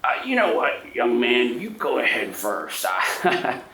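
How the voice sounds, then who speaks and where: A man voicing a talkative, polite elderly gentleman in a tabletop game, with a hesitant, rambling, folksy way of speaking. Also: medium pitch, sing-song, slow pace, voice pushed up, exaggerated voice. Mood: genial, chuckling. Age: older. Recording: roomy echo, background noise.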